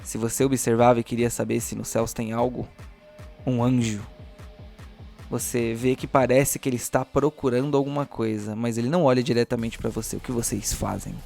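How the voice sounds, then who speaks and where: A Brazilian man narrating a tabletop game as game master, in a deliberate, theatrical storyteller's manner. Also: medium pitch, little pitch movement, gravelly, a little mellow, soft background music.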